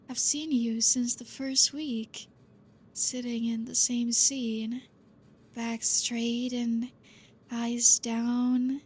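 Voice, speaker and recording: A woman voicing a gentle, possessive female vampire professor in an ASMR roleplay, talking softly and coaxingly and slipping into whispers. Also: fairly high pitch, slight background noise. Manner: soft, slow and coaxing, drifting into whispers.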